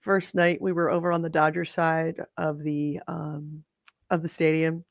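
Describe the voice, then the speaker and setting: Hoarse, gravelly woman chatting casually. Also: deep voice, level tone, unhurried, dry recording. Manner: chatty, rambling, self-interrupting. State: slightly hoarse. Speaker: podcast co-host. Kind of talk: casual chat.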